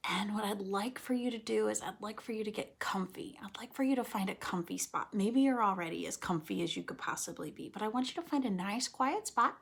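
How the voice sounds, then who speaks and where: A woman with a slightly husky voice leading a read-aloud lesson for children, explaining things simply in an expressive, coaxing way and speaking to them directly. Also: on the high side. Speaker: teacher reading aloud to children.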